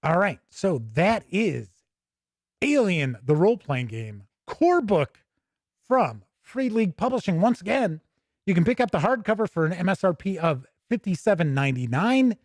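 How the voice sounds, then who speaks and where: A man's voice: high voice, very animated, moderate pace, no room sound. Casual man hosting, in a dry close sound. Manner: informal, thinking aloud. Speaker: host of a tabletop gaming news and review show. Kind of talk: casual livestream walk-through of a game book, chatting with viewers.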